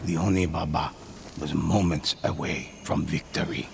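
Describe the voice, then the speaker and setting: Deep gravelly slow male narrator. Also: flat delivery, noisy background. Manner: grave, measured, storytelling. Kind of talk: scripted game narration. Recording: narration over loud music.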